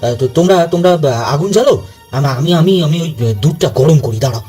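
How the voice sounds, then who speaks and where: A nervous, helpful shopkeeper, acted by a man with a highish, very animated voice. He hesitates, stammers and repeats himself, in a horror audio drama with faint music. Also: fairly quick, natural voice. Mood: nervous, relieved. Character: nervous, flustered shopkeeper, anxious but helpful.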